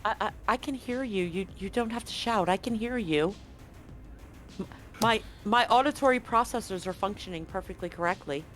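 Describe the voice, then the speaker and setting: A woman voicing an anxious, low-grade female android in a tabletop game, stammering, literal and eager to appease. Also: moderate pace, a bit bright, faint music behind, close to an everyday voice. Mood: anxious, placating. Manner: stammering, over-literal, placating.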